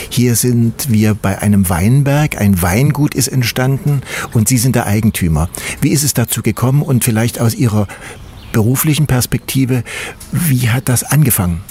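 A man interviewing a guest for radio, asking measured, formal questions outdoors at a vineyard. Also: medium pitch, a little mellow, a little hiss or hum.

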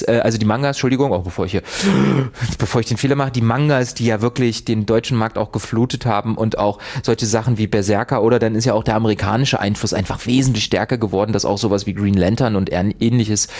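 A man's voice: lowish voice, fairly even intonation, quick pace, few pauses, a little mellow. A man co-hosting a casual radio chat, rambling along, hunting for words and correcting himself. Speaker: radio co-host.

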